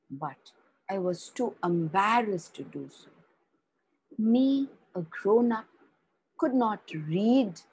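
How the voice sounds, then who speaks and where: A woman voicing a gentle sixty-two-year-old grandmother. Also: medium pitch, breathy edge, low-quality recording. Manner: soft, earnest, slow storytelling delivery.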